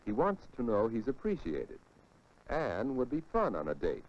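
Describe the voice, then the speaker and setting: A man's voice: mid-range voice, wildly swooping pitch, moderate pace. An American man giving scripted, didactic narration for a 1940s educational film, posing rhetorical questions to the viewer. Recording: muffled 1940s film soundtrack.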